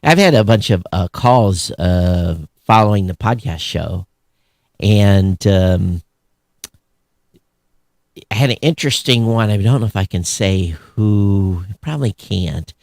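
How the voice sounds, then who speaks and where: A man co-hosting a podcast in casual, opinionated chat, animated and sarcastic, often halting and breaking off mid-phrase. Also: fairly low pitch, vocal fry, no echo, clean studio sound. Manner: animated, sarcastic griping with mock quoted voices. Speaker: podcast co-host.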